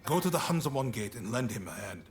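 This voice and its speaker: Male statesman, acted, authoritative, formal, measured, shrewd, courteous, mid pitch, game cutscene, faint music. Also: very animated, close to an everyday voice. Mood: composed authority. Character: composed, commanding shogunate statesman, shrewd and courteous. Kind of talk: video game cutscene acting.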